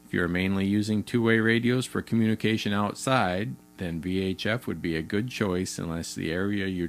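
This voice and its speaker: A man's voice: low-pitched, vocal fry, a little hiss or hum. A man reading scripted instructional narration in a plain, methodical explaining manner. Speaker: explainer video narrator for a radio retailer.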